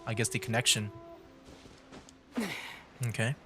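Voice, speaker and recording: A man's voice: lowish voice, level tone, measured pace, over music. A man giving casual, rambling commentary on a game livestream, often repeating himself as he chats. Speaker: livestreaming VTuber gamer.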